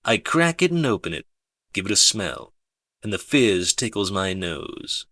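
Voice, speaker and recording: A man narrating a first-person audiobook in a measured, understated way. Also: lowish voice, very animated, bright, forceful tone, dry recording.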